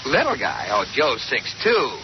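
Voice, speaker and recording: A man's voice: medium pitch, huge pitch swings, moderate pace. A man playing a flustered, exasperated husband in an old radio comedy, stammering and blustering. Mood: sheepish. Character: flustered, exasperated husband whose prank backfired. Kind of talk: 1940s radio comedy acting. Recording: dull, noisy old radio recording.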